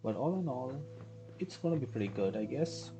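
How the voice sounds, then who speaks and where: Male streamer, mid-pitched, casual and hesitant play-by-play, game music behind. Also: expressive, brisk, poor audio. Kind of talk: casual live gameplay commentary.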